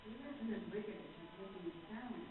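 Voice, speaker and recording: A woman's voice: mid-range voice, moderate pace, phone-quality sound, crowd noise, lightly acted. An actress playing an indignant but dignified woman writer in a radio play. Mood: defensive, pleading. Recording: very echoey, distant, hissy recording.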